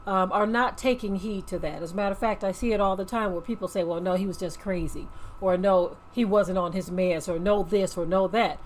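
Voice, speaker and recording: A woman's voice: mid-range voice, a little hiss or hum. A woman giving earnest, preachy off-the-cuff commentary as host of a religious channel, repeating phrases for emphasis and checking for agreement.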